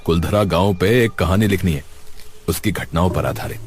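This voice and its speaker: A man playing a polite, inquisitive young student in an animated story. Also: deep voice, moderate pace, close to an everyday voice. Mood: curious. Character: curious young male student researching a village story.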